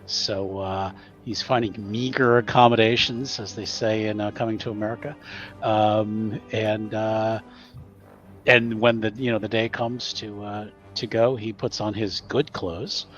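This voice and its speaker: A man's voice: lowish voice, fairly even intonation, measured pace, a bit bright, highs cut off, soft background music. A man casually improvising what his roleplaying character has been doing, hesitant and understated. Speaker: tabletop roleplaying player.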